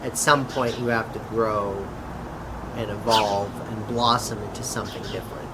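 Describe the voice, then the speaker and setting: A man speaking in a halting, drawn-out way, as if in amateur character drama dialogue. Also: on the low side, fairly even intonation, heavy background noise.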